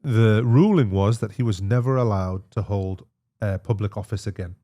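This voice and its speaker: Low-pitched man chatting casually. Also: moderate pace, mellow. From Australia. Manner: informal, matey, repeats himself. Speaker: interview guest, emergency physician. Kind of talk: casual conversation.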